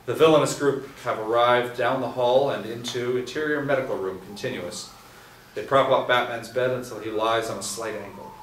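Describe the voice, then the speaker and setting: A man reading stage directions aloud at a table reading, steady with small restarts. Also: mid-range voice, moderate pace. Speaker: script narrator. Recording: echoey room from a distance, faint hiss.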